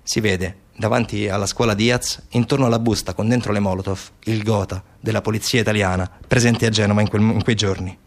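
A man's voice: on the low side, fairly even intonation, fairly quick, few pauses. A man performing a narrative stage monologue as an actor, dramatic yet conversational, posing rhetorical questions.

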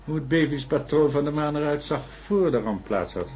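An actor playing a sceptical male scientist with a dry, measured way of talking in a Dutch radio drama. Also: a little high, expressive, a little mellow, lightly acted. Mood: keen, intrigued. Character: Walter, sceptical male scientist warming to a theory.

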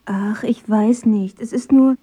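Radio play role: independent young girlfriend, highish voice, frank, guarded, everyday speech. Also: steady tone, measured pace, warm tone, breathy edge. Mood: uneasy, apologetic. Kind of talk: audio drama acting.

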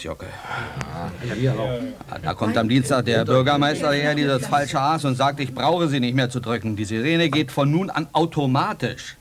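A man's voice: on the high side, fairly bright tone. Blunt, proud old railwayman. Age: older. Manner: blunt, boastful, emphatic. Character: proud, grumbling old retired railwayman, hard-drinking and blunt. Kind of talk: 1980s German radio drama acting.

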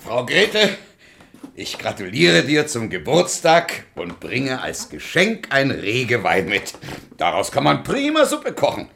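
Servile fairy-tale huntsman: slow, extremely sing-song, fawning and theatrical, for children's radio drama. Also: medium pitch, wildly swooping pitch, gravelly, noisy background, exaggerated voice. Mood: falsely friendly. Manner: obsequious, theatrical. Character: servile, cowardly royal huntsman, fawning and pleading.

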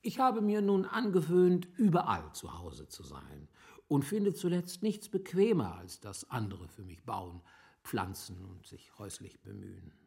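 An actor playing a worldly, resigned older gentleman guest in a radio play, speaking in a measured, reflective way with a creaky voice. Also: on the low side, full character voice. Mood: self-assured. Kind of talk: literary radio play dialogue.

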